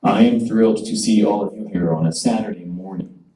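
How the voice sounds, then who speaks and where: A man's voice: on the low side, mellow, roomy sound, far from the mic, rough recording. Synthetic male speech-device voice. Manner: measured, formal delivery. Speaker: conference speaker.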